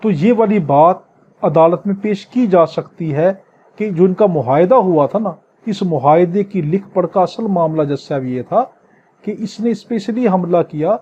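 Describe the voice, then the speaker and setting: A man's voice: sits high, unhurried, dark tone, husky. A man with a Pakistani accent teaching in a lecture style, explaining phrase by phrase and repeating key points. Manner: didactic, explains phrase by phrase with repetition. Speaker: law teacher. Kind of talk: lecture, reading English statutes aloud and explaining them in Urdu. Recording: dull, muffled lo-fi audio.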